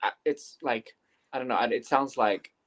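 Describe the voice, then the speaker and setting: A man's voice: mid-range voice, a little slow. A young man from New Zealand, a teacher whose first language was Māori, talking casually as a guest with lots of fillers and restarts. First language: Te Reo Māori. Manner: rambling, many fillers and restarts. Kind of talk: casual interview chat.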